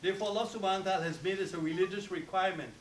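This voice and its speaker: A man from Guyana with a Guyanese accent, officiating a wedding and giving a solemn, formal sermon with rapid Arabic recitation. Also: high-pitched, fairly even intonation, echoey room, distant mic, a little hiss or hum.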